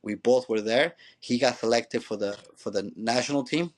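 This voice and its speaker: A man's voice: medium pitch, moderate pace. A man, a former professional player now a youth coach, telling his story in a loose, rambling way with frequent restarts and "you know"s. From Mexico. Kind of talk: conversational storytelling.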